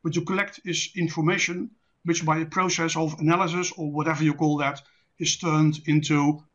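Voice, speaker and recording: A man with a Dutch accent, a native Dutch speaker, presenting an online lecture in a measured, deliberate, didactic way. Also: fairly high pitch, level tone, a bit bright. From Netherlands. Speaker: presenter, intelligence specialist. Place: online presentation with screen share. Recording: dull lo-fi online call audio.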